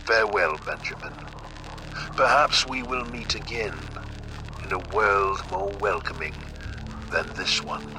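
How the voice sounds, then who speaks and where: A man's voice: fairly low pitch, measured pace, long pauses, natural voice. An actor playing an older, weary dying father, giving a grave, formal farewell to his son in an audio drama. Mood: grave, wistful. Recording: noisy recorded message with hum.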